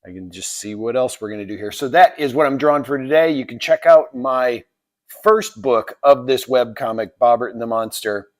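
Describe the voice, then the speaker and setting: A man's voice: medium pitch, normal speed. A man chatting casually and off the cuff as he hosts a live stream, with wry muttered asides. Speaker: artist live-streamer, host.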